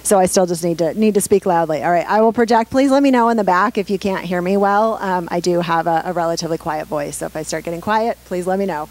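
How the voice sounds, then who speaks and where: A woman's voice: lowish voice, fast talker, hardly any pauses, a little mellow, poor audio. Earnest woman projecting on a handheld mic. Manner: projecting, earnest, reads from notes. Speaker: city councilwoman and mayoral candidate. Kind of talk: prepared candidate speech. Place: library meeting room, standing before seated audience.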